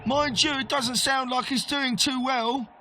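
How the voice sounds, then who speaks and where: An actor playing a cheeky, dismissive young arena helper in a video game. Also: male voice, extremely high pitch, steady tone, a little slow, bright, forceful tone, slight background noise, exaggerated voice. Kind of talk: video game character acting.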